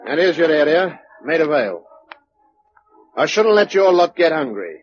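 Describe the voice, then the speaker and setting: An actor playing a callous captor giving orders in a radio drama. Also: male voice, a little high, sing-song, moderate pace. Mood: brisk, callous. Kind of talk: British radio drama acting. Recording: dull old radio recording under loud music.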